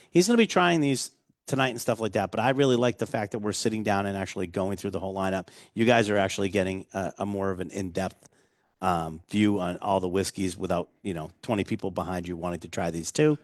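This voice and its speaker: A man's voice: on the low side, fast, no room sound, clean studio sound. A man hosting a casual whiskey tasting, chatty and rambling with jokes. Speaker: host.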